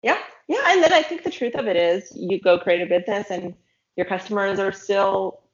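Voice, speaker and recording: A woman's voice: medium pitch, normal speed, echoey room, highs cut off. A woman hosting a casual conversation who speaks in a reflective, encouraging way.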